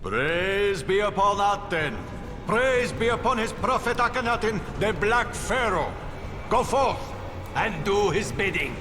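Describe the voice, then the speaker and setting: An actor playing a zealous cultist in a video game, proclaiming devotion in a loud, declamatory chant. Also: male voice, high voice, expressive, measured pace. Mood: fervent. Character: zealous male cultist crier exalting a pharaoh prophet. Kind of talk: dramatic video game voice acting. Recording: echoing hall, loud music behind.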